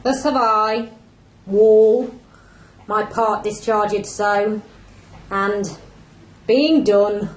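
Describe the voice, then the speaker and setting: A woman playing a solemn, literal-minded talking wall in an amateur Shakespeare radio play, reciting verse deliberately. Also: medium pitch, steady tone. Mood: solemn announcing. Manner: deliberate, declamatory verse delivery. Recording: echoey room with background noise.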